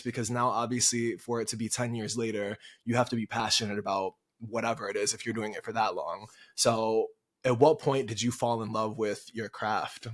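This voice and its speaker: Easygoing male podcast host. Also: mid-range voice. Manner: encouraging, asks long rambling questions. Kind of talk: casual interview.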